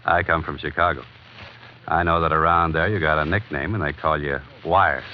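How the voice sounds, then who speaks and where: A cool, tough private eye from vintage radio drama. His voice is deep and his talk slangy and needling. The recording is dull, with faint noise behind it. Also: steady tone, normal speed. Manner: hard-boiled, slangy, unflustered. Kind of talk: 1940s radio crime drama acting. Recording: muffled, noisy old radio broadcast.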